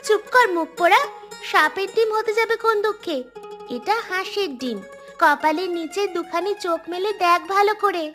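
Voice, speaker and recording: An actress playing a mischievous, bossy village girl in an audio drama, scolding and quick with excuses. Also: very high voice, a bit bright, soft background music. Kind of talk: acted audio story dialogue.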